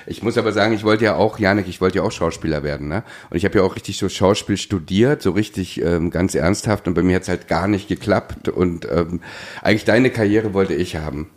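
A man's voice: sits low, fairly quick. A man co-hosting a casual chat, talking in an emphatic, chatty way with tag questions. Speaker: podcast co-host.

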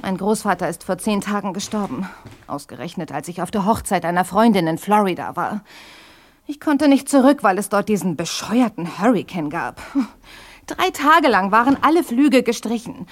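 An actress playing an overwhelmed young granddaughter in a German audio drama, talking in a frank, chatty, slightly flustered way. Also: female voice, mid-range voice, expressive, normal speed, natural voice. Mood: frank, sad. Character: overwhelmed grieving young granddaughter clearing out an inherited house.

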